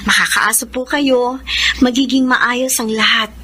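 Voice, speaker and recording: A woman's voice: a little high, steady tone, a little slow, sharp tone, a little hiss or hum. A woman playing a polite, earnest wife in a Filipino radio drama, speaking respectfully. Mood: grateful, earnest.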